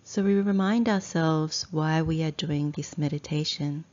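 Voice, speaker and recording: A woman teacher leading a guided meditation in a measured, deliberate way, breaking her sentences into short phrases with long pauses. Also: low voice, a little mellow, muffled recording. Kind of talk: slow guided meditation instruction.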